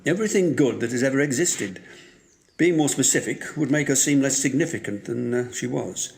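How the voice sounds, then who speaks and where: A man's voice: medium pitch, expressive, brisk, gravelly. An actor playing a wry, guarded widower in his sixties with dry, curt, formal delivery in an audio drama. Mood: guarded, wistful. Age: 60s. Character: gruff, wry 67-year-old widower, guarded and grieving.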